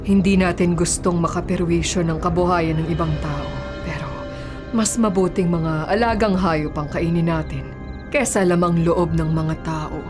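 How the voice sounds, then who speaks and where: A woman playing a secretive, principled middle-aged Filipino mother in a radio drama. Her voice sits rather low and guarded, with clear music underneath. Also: steady tone, normal speed, lightly acted. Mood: secretive, grave. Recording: music bed under the dialogue.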